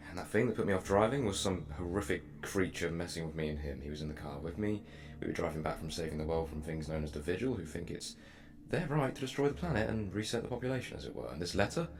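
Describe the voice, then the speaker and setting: Actor as low-voiced, fast-talking earnest man. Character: earnest, anxious man who travels space and time. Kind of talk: audio drama acting.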